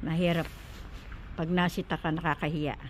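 A woman's voice: sits low, little pitch movement, normal speed. A woman vlogging casually outdoors, chatting about her errands as she walks. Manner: chatty, narrating her errands while walking. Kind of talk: casual walking vlog. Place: outdoors on a city street. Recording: lo-fi with loud street noise. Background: traffic and street noise.

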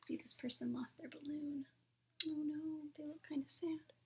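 A young adult woman reading picture books to children at story time in a soft, hushed, breathy manner. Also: high-pitched, fairly even intonation, moderate pace, a little hiss or hum. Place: small room at home, indoors.

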